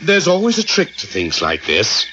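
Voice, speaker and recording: A suspicious spaceman with wildly swinging pitch. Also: wildly swooping pitch, normal speed, bright, forceful tone, exaggerated voice. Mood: agitated, defiant. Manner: ominous, contrary. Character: suspicious, stubborn, paranoid man on a space expedition. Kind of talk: radio drama acting. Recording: muffled, noisy 1980s radio recording.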